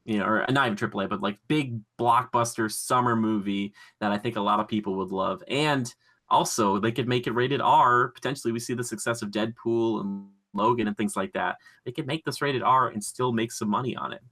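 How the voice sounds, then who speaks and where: Male podcast host, bright and punchy, excitable, rambling, gushing, conversational. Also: medium pitch, fairly quick. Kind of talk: casual enthusiastic pop culture podcast chat.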